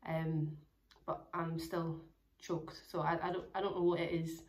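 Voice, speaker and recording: A young woman with a hoarse, crackly voice, chatting casually to camera about her travels, rambling with lots of fillers. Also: sits low, level tone, unhurried. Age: young adult. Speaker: travel vlogger. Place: small room indoors at home.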